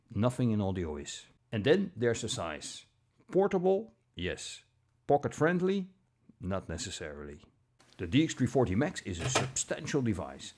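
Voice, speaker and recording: Guy doing a scripted review voiceover, measured delivery, bit of gravel and fry, lively intonation. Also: mid-range voice. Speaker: audio gear reviewer.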